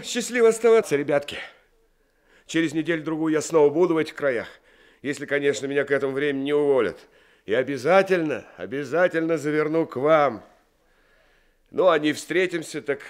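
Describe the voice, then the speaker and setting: An actor playing a kind, working-class truck driver in an old radio play, friendly and deferential, with some hesitation. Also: male voice, on the high side, close to an everyday voice. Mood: warm farewell.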